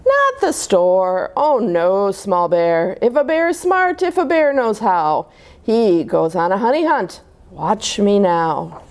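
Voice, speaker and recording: A woman's voice: mid-range voice, very animated, slow pace, pitched below the performer's own voice. A woman voicing a boastful, confident father bear in a children's storybook, making pompous, emphatic pronouncements. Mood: confident, instructive.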